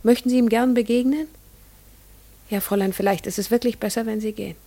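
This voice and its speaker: An actress playing a troubled middle-aged farmer's wife in a German radio play, guarded, halting and fearful. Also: female voice, on the high side, fairly even intonation, warm tone. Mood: uneasy, guarded.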